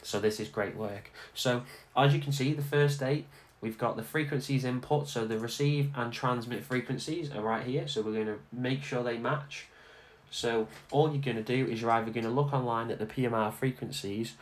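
A man giving a casual, informal software tutorial, instructing step by step and repeating himself as he goes. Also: medium pitch. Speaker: tutorial host. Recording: clear background noise.

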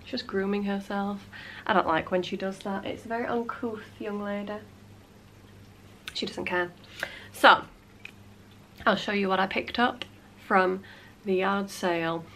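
A woman's voice: medium pitch, steady tone, a little slow, long pauses, sharp tone, a little hiss or hum. Chatty woman with a cold. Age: late 20s. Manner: chatty, frequent "like" fillers, playful asides. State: congested with a cold. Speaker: vlogger. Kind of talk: casual chat. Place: small cluttered home room.

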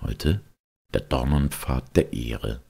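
A man narrates a literary tale in an extremely deep voice, thick with creak and very husky. His delivery is solemn and measured, like a scripted audiobook reading of an old classic. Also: lively intonation, warm tone.

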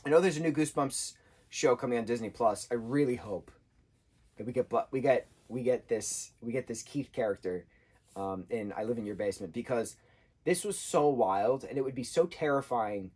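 Animated husky man reviewing a book. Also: mid-range voice, normal speed. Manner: animated, gestures a lot, acts out quotes in changing voices. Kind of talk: casual vlog book review. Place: indoor room, sofa with patterned throw.

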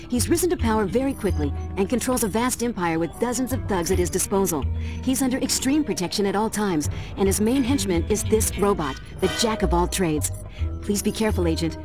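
A woman's voice: mid-range voice, expressive, a little fast, over music, close to an everyday voice. A woman playing a businesslike but caring spy agency handler, giving mission briefings in a video game. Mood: brisk, concerned. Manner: efficient, businesslike.

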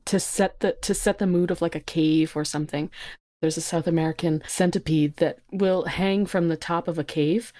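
A woman's voice: fairly low pitch, steady tone, moderate pace, mellow. A woman speaking as a podcast guest, explaining things in casual, plain conversational talk. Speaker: podcast guest, insect research technician.

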